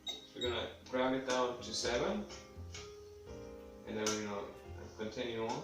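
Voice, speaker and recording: A man's voice: mid-range voice, steady tone, normal speed. A young man with a cold, sniffly, rambling offhand and swearing casually as he cooks in his home kitchen. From Sweden. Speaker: home cooking video host. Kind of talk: casual cooking demonstration. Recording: echoey room, mic at a distance, faint music.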